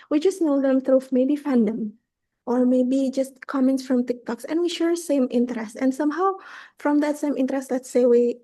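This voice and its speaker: High, mellow-voiced woman moderator. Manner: hesitant, many fillers. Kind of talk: online forum moderating. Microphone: video call.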